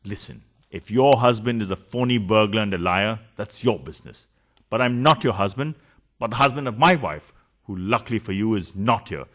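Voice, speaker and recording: An actor playing a flustered, philandering husband in a farcical radio play, stammering and protesting theatrically. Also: male voice, fairly low pitch, moderate pace, a bit bright, lightly acted. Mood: indignant.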